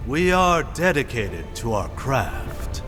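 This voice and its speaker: An actor playing a loyal, good-natured weaponsmith in a fantasy game, expressive and earnest. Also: male voice, medium pitch, slow. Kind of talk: dramatic fantasy game acting. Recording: music behind the voice.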